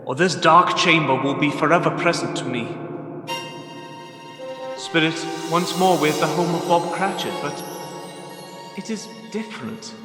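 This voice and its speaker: A man acting a frightened, remorseful old miser in a radio play, halting and imploring in a theatrical way. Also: a little high, bright, forceful tone. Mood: fearful, pleading. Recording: roomy long reverb over clear music.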